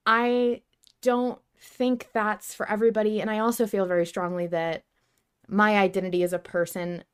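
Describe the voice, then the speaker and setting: A woman's voice: mid-range voice, level tone, moderate pace, clean tone. A woman around her thirties co-hosting a casual fan discussion podcast, speaking earnestly and emphatically in bursts. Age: 30s. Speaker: podcast co-host.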